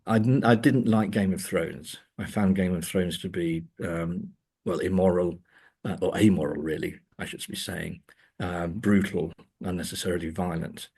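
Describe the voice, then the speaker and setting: Low, husky-voiced man in discussion. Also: fairly even intonation, a little mellow. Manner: hesitant, halting delivery with repeated words. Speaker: interview guest, academic author. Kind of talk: thoughtful interview discussion of screen adaptations.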